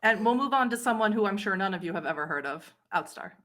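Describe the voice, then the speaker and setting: A woman's voice: mid-range voice, lively intonation, quick pace, fairly bright tone. A woman hosting and narrating a tabletop roleplay game as its storyteller.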